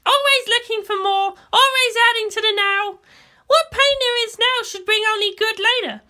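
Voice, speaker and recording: Fiery audiobook girl character, very high voice, emphatic, argumentative, sharp. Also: normal speed. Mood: scornful. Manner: emphatic, declamatory. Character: headstrong, argumentative girl with fierce conviction. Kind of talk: fan fiction audiobook character voice.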